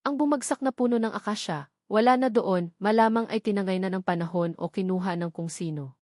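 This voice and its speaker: A woman's voice: mid-range voice, fairly quick, a little mellow, no room sound. Woman narrating a sentimental romance, very dry sound. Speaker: audiobook narrator. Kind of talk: scripted romance story narration.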